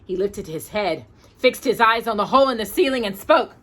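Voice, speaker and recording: A woman hosts a storytime, reading a picture book aloud. Her voice is bright and forward, at a middling pitch, and she narrates expressively for a young listener. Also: animated, moderate pace.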